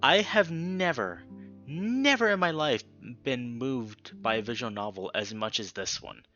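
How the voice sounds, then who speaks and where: A man giving casual, emphatic game commentary as a let's play host, pausing dramatically and swearing mildly. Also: mid-range voice, lively intonation, sharp tone, muffled recording. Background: guitar music.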